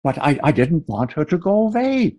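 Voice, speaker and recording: An actor playing an aggrieved old widowed farmer with a German accent and a husky, halting delivery in a Western radio drama. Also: male voice, on the high side, sing-song, warm tone. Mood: hurt, defensive.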